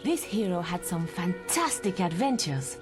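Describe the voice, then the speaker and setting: A woman voicing a cocky young girl training as a hero in a video game, brisk and teasing. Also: lowish voice, big pitch swings. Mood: cocky, friendly. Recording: music behind the voice.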